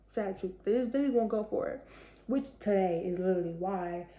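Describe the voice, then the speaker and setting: A young woman with a slightly raspy voice, chatting casually to camera with lots of fillers. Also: medium pitch, normal speed, mellow, echoey room. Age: young adult. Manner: chatty, conversational, many fillers. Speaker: vlogger.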